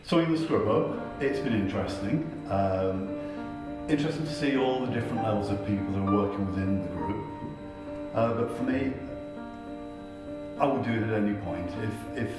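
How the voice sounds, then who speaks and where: An older man gives an informal personal account in a measured, explanatory way. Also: lowish voice. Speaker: tailor being interviewed. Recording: very echoey, distant mic, faint music.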